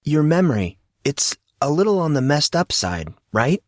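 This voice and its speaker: A man voicing a polite, knowing teenage schoolboy in audiobook dialogue, calm and measured. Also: on the high side, dry recording, studio quality, voice pushed up.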